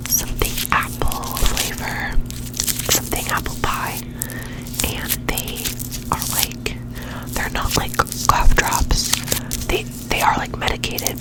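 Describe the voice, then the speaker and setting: A young woman with a cold, nasal and stuffy, rambling in a soft ASMR whisper at home, close to a sensitive mic and repeating words playfully. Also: low-quality recording. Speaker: ASMR creator. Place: home bedroom with dim warm lamp. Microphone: very close sensitive mic, gain turned up high.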